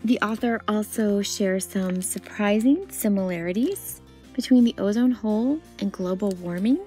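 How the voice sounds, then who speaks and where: A woman storyteller reading a children's picture book aloud expressively, with deliberate phrasing and dramatic pauses. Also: medium pitch, mellow, faint music behind. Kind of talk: expressive read-aloud narration.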